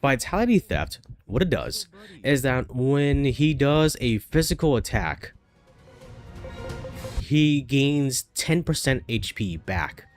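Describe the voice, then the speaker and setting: A man's voice: mid-range voice, big pitch swings, slow pace, faint music behind. A man with a stuffy nose from a cold, chatting casually and thinking aloud while playing a game, with frequent fillers and restarts. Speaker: gaming streamer. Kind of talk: casual gameplay commentary.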